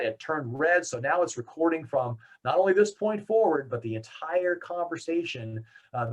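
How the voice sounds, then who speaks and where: An American man presenting a software product demo in a conversational, explanatory way. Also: medium pitch, poor audio.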